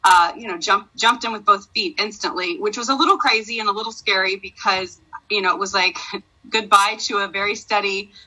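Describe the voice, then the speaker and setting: A bright, forceful woman in her forties talks eagerly in a casual interview. Her voice carries a husky edge, and her talk is full of ums and likes. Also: mid-range voice, a little fast, low-quality recording. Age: 40s. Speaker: guest, network marketer.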